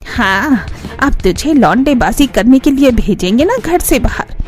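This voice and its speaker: A woman voicing a sharp-tongued, disapproving old grandmother who nags and taunts in a family cartoon. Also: fairly high pitch, sing-song, unhurried, slight background noise. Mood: scolding, taunting. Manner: taunting, nagging. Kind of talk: Hindi family cartoon voice acting.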